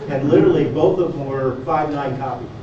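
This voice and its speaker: A man's voice: mid-range voice, a little fast. Man talking casually in a very echoey room. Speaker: club member co-hosting the session. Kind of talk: casual off-the-cuff talk to a group. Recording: very echoey room, distant dull mic, crowd.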